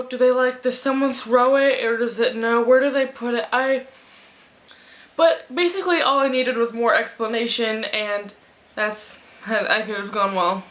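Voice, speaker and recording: Chatty woman vlogger, slightly high voice, rambling book-review talk to camera at home. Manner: chatty, rambling, animated asides. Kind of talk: casual vlog review. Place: at home in front of a fan. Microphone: camera mic.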